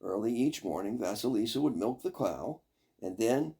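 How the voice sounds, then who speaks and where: An older man reading a fairy tale aloud as a storyteller, with expressive, deliberate, dramatic phrasing. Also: on the low side, vocal fry, breathy edge.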